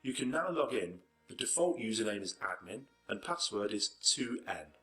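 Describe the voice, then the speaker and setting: A man's voice: on the low side, big pitch swings, normal speed, fairly bright tone, soft background music, low-quality recording. A man reading scripted narration for an instructional video tutorial.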